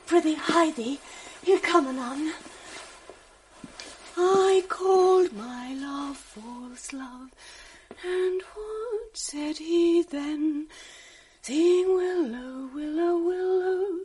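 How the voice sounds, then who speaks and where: An actress playing a gentle, sorrowful young noblewoman in a radio drama, speaking softly and wistfully, at times half singing. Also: very high voice, drawn-out words, slight background noise, exaggerated voice. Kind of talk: 1980s radio drama acting.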